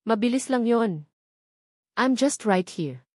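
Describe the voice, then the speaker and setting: Woman voicing young male lover, Filipino accent, storybook romance, calm, reassuring, commanding, dry close recording. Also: on the high side, slow, dry recording. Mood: soothing.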